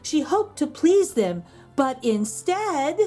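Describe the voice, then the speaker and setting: A woman narrates a picture book for children in a high, soft-toned mellow voice. She reads at a slow, measured pace with expressive, rhythmic phrasing. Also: faint music behind. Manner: measured rhyming delivery with gentle asides to children. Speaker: children's read-aloud storyteller.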